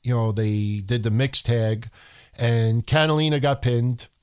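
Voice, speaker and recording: A man co-hosting a wrestling podcast in casual, profane chat, animated and swearing freely, sometimes slipping into joking broken-English voices. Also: medium pitch, unhurried, clear, resonant tone.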